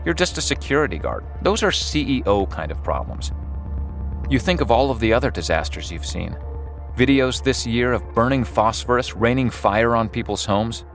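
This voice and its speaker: A man reading scripted second-person horror-comedy narration in a wry, dramatic storytelling manner. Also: medium pitch. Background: music under the voice.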